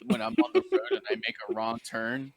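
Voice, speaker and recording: A man's voice: mid-range voice, wildly swooping pitch, fairly quick, creaky. A man co-hosting a livestream in a joking mock late-night host style.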